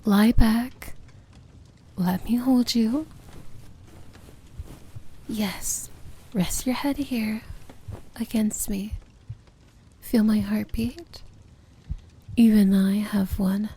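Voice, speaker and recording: A woman playing a powerful, possessive princess of evil in a romantic fantasy ASMR roleplay, breathy and often whispering, commanding yet tender. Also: medium pitch, fairly even intonation, extremely slow, pauses often, mellow, slight background noise. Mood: soft, tender.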